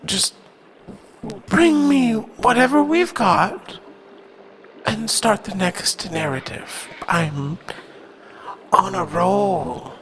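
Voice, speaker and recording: A man playing a languid, pampered master in a sci-fi audio drama, halting and self-indulgent as he speaks. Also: high voice, vocal fry, fairly bright tone, slight background noise, heavily acted, poor audio. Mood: disappointed, petulant.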